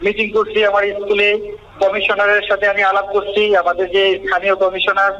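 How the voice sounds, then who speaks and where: A Bangladeshi man answers over a muffled phone line in a high, sharp voice. He talks very fast and insistently, repeating himself defensively. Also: monotone, distant mic. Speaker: head teacher, interviewee by phone. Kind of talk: unscripted interview answers. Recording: muffled phone call, faint noise.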